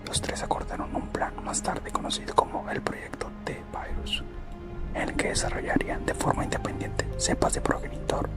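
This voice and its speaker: A person whispering a scripted ASMR roleplay narration in a slow, deliberate way, as a corporate recruiter. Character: corporate recruiter recounting company history. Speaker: ASMR content creator. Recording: close whisper over loud background music. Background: music.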